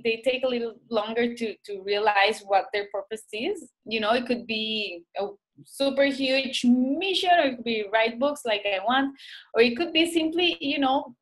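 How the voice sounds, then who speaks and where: A woman's voice: a little high, poor audio. A woman from Rica, a doctor and guest, talking reflectively and searching for words with many restarts. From Costa Rica. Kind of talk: reflective conversation.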